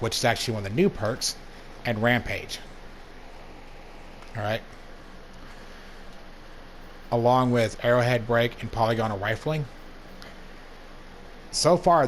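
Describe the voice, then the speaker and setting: Hoarse, laid-back young man. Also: mid-range voice, a little hiss or hum. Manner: laid-back, plain-spoken, rambling asides. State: hoarse from allergies. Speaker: gaming channel host. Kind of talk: casual gameplay commentary.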